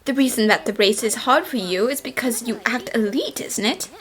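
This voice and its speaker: A woman voicing a confident, cheeky young female detective in an anime fan dub, brisk, smug and theatrical, with music underneath. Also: fairly high pitch, a bit bright, lightly acted. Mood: stern, teasing.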